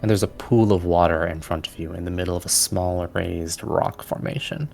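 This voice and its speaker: A man narrating a tabletop fantasy game as game master, in a deliberate, descriptive storytelling manner. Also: low voice, steady tone, creaky, darkish tone, soft background music.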